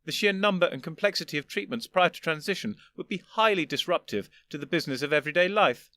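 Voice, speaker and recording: Man voicing an elderly gentleman, condescending, lecturing, weary patience, raised bright voice, storybook. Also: a little high, lively intonation, fast talker, no room sound, voice pushed up. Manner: precise, lecturing, wearily patient.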